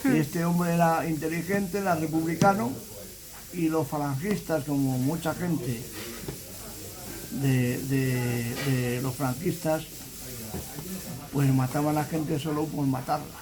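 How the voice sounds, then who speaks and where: Higher-pitched Castilian man telling family history. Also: steady tone, takes it slowly, long pauses, a little mellow, rough recording. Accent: Castilian Spanish. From Valladolid province, Spain. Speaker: interviewee giving family testimony. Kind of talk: conversational storytelling.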